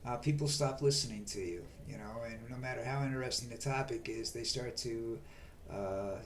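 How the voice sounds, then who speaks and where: An older man talking on in a slow, monotone ramble, stumbling over restarts and fillers. His pitch sits in an ordinary middle range, and a faint hiss of noise runs under the recording. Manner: halting, many fillers and restarts. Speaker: vlog host. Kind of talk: rambling monologue.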